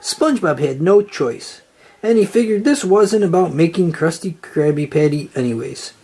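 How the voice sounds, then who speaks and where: A narrator reading a children's storybook aloud in an animated style. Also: mid-range voice, normal speed, husky.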